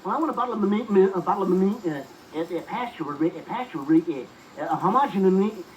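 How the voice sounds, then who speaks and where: A man's voice: high-pitched, animated, brisk. A man playing a dithering, stuttering customer in an old radio comedy, tripping over words and repeating phrases. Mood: flustered stammering. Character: stuttering, dithering customer who fumbles every word. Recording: very noisy, dull vintage radio tape.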